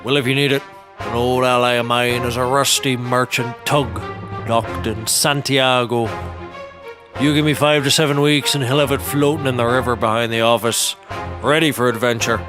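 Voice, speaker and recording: An actor playing an eccentric, deadpan office hand in a comedy audio drama, telling things in a folksy, drawn-out way. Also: male voice, medium pitch, music in the background, lightly acted.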